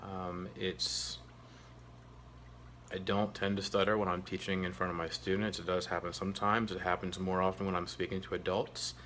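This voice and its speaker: A man, a teacher, telling his own story to the camera in a halting, earnest way, with a stutter and many ums and uhs. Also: deep voice, flat delivery, fairly bright tone, a little hiss or hum. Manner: earnest and open. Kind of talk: personal story told to camera.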